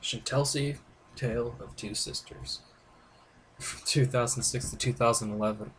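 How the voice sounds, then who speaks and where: A man's voice: medium pitch, fairly even intonation, measured pace, fairly bright tone, poor audio. A man giving casual, rambling gaming commentary, hesitant and thinking aloud as he hosts a playthrough. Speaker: host of a game playthrough video. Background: game music.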